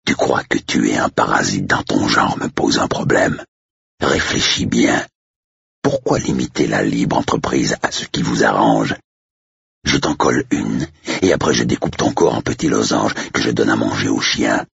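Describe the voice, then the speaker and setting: A man voicing a stern, menacing, coldly determined man in an audiobook story, growling and deliberate. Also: very deep voice, vocal fry, fairly bright tone, husky, no room sound, dull audio, full character voice. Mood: menacing anger.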